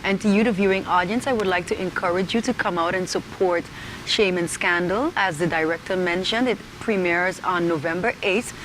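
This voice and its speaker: Low-voiced woman hosting an interview. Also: normal speed, fairly bright tone, poor audio. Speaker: talk show host. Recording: noticeable background noise.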